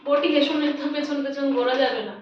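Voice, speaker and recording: A woman's voice: sits high, fairly even intonation, fast talker. A woman with a West Bengal Bengali accent chats casually to her viewers as a lifestyle vlogger, showing her shopping. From West Bengal, India. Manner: chatty, addresses viewers as friends. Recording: roomy echo, distant mic, dull, faint noise.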